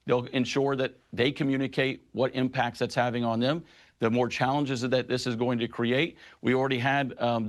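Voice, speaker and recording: Middle-aged male union head, firm and impassioned, mid-range voice. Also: mid-range voice. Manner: impassioned, emphatic advocacy. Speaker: union president. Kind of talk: news interview guest.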